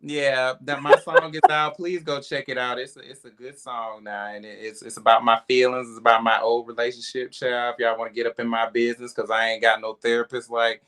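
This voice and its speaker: Hoarse, brash man with a bright voice. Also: medium pitch, little pitch movement, fairly quick, few pauses, slight background noise. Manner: brash, profane, sassy. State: hoarse, recovering voice. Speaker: singer promoting his single. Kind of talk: casual panel chat.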